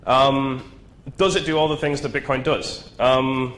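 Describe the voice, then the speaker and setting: A man's voice: mid-range voice, fairly even intonation, unhurried, fairly bright tone, slight background noise, poor audio. A man with a nasal, flu-stuffed voice giving a casual tech talk at a meetup, with lots of ums and "right?". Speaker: presenter. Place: meetup venue.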